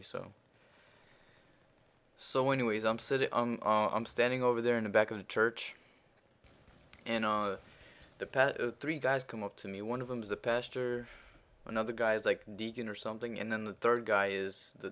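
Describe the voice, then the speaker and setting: A teenage boy with a Russian accent, speaking in a fairly low, smooth voice. He rambles through a crude, swear-heavy story with lots of ums and uhs, as casual commentary over a video game. His voice gets louder as the tale turns heated. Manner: crude, profane, rambling with many fillers; grows louder and heated while retelling the argument. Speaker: gaming commentator.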